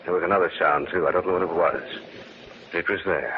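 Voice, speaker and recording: A man's voice: deep voice, brisk, lightly acted. An actor playing a skeptical, urgent man caught in a horror tale, with a dramatic, probing delivery in old radio style. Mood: grave. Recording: muffled, very noisy old radio recording.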